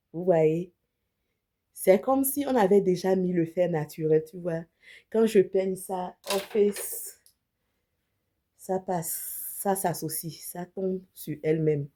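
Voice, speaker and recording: A woman's voice: on the low side, mellow. A woman with a slight cold, chatting casually as she demonstrates a wig and mixes English words into her talk. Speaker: beauty vlogger and image consultant. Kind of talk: casual wig unboxing demo.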